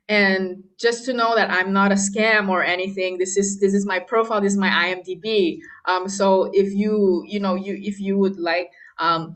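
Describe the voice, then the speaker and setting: A woman's voice: mid-range voice, a bit bright. A young woman from Malaysia, a pianist, chatting casually in an interview with plenty of fillers and laughs. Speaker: guest pianist.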